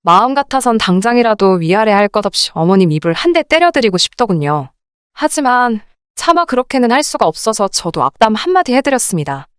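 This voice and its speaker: A woman reading a scripted first-person story in an expressive, indignant radio drama style. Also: fairly high pitch, fairly quick, clean tone, no room sound, clean studio sound. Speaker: narrator of dramatized listener stories.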